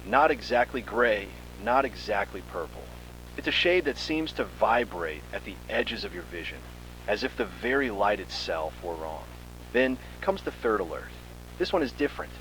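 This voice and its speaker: A man's voice: lowish voice, lively intonation, normal speed, fairly bright tone, a little hiss or hum, poor audio. A man reading a scripted second-person horror story in a dramatic, suspenseful manner.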